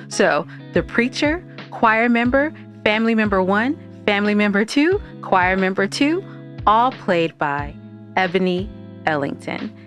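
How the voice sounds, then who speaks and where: A woman hosting a podcast, reading credits in a casual, chatty, emphatic way straight to listeners. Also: medium pitch. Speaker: podcast host. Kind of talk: casual scripted show outro.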